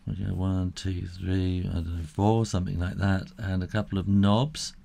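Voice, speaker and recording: A middle-aged man giving a casual step-by-step drawing tutorial, unhurried and thinking aloud as he works. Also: deep voice, little pitch movement, creaky, warm tone.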